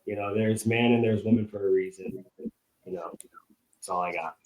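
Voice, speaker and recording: A man's voice: fairly low pitch, fairly even intonation, normal speed, gravelly, a little mellow. A man on a casual podcast who keeps his answers short and to the point. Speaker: podcast co-host. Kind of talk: casual chat. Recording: roomy echo.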